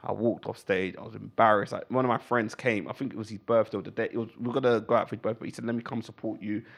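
A British man, a comedian guest, chatting casually and telling anecdotes in animated, slangy speech. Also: on the low side, racing, creaky.

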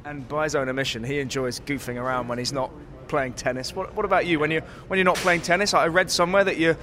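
A man's voice: on the high side, fairly even intonation, fast, pushed and bright. A man interviewing a young tennis player outdoors, asking friendly, conversational questions with small restarts.

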